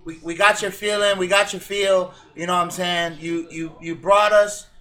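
Brief: agreeable podcast host, repeats himself, high bright voice. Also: male voice, steady tone, normal speed. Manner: hyped, agreeable, repeats phrases. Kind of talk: casual chat.

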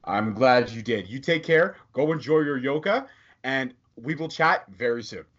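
A man's voice: medium pitch, expressive, normal speed, fairly bright tone. Upbeat male podcast host, lo-fi sound. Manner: upbeat, joking, self-promoting. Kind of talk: casual interview chat. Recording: dull lo-fi audio.

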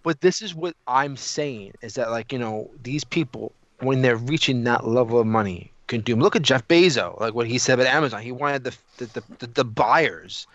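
A man's voice: mid-range voice, normal speed, few pauses, a bit bright, no room sound, dull audio. A man in casual, opinionated podcast discussion, emphatic and repetitive, often throwing out rhetorical questions. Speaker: podcast co-host.

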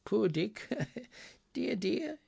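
A man voicing an excitable, sentimental old man in a storybook reading, exclamatory and wistful. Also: high-pitched, very slow, vocal fry, slightly rough, pitched above the performer's own voice, heavily acted. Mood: fond, wistful.

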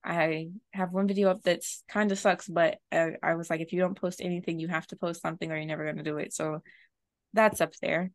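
A young woman guest in a casual chat, talking quickly with laughs and asides. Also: lowish voice, steady tone, no room sound.

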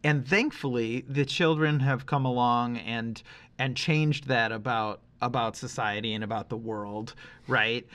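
A middle-aged man co-hosting a podcast, talking casually and reflectively, working through his thoughts haltingly with qualifications. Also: mid-range voice, a bit bright. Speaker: podcast co-host.

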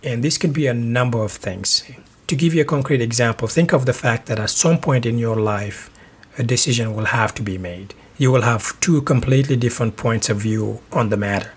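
A middle-aged man from Senegal hosting a podcast, giving earnest relationship advice in a measured way with small restarts. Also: mid-range voice, slightly rough. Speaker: podcast host.

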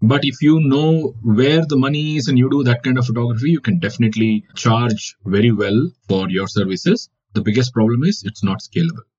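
A man's voice: medium pitch, a little fast, few pauses, darkish tone, slightly rough. A man from India whose first language is Kannada, giving reflective interview answers as a wildlife photographer with many fillers. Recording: dull lo-fi audio.

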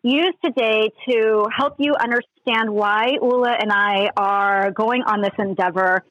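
A woman's voice: mid-range voice, steady tone, a little slow, a bit bright, no room sound. A woman co-hosting a podcast, introducing it to listeners, on a dull lo-fi recording. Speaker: podcast co-host. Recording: dull, lo-fi, dry sound.

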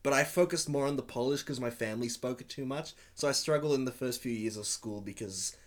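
A man on a casual movie podcast, answering with dry, deadpan humor. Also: mid-range voice, fairly quick. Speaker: podcast co-host.

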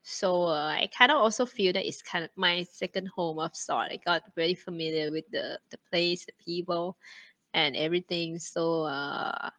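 A young woman from Thailand chats easily in tinged by years in Singapore. Her voice sits a bit low but sounds bright and forward. She rambles warmly, filling her pauses ums and small laughs. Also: poor audio. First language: Thai. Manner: chatty, many fillers, laughs often. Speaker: guest. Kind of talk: casual conversation.

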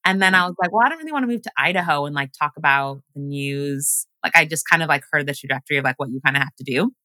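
A woman's voice: low voice, lively intonation, fast, sharp tone, dry recording. A woman whose first language is Luganda, raised in Washington State, telling stories casually as a podcast guest with lots of fillers. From grew up in Washington State. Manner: chatty storytelling, many fillers. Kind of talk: casual conversation.

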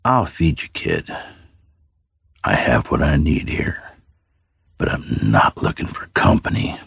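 A gruff old male survivor, as a male narrator puts him on, extremely low and husky with a gravelly creak. He is a weary, guarded loner from a post-apocalyptic story and speaks tersely. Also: sing-song, slow pace, a bit bright, dry recording, studio quality, full character voice.

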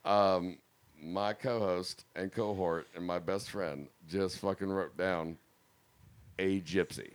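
A man hosting a casual studio podcast, with loose, profane, laughing banter and a voice going slightly raspy. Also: deep voice, fairly even intonation, slow pace. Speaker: podcast host.

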